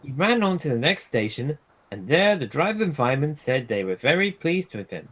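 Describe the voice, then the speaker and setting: A man reading a children's storybook aloud as a storyteller, in an animated style. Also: a little high, a little fast. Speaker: storyteller, streamer.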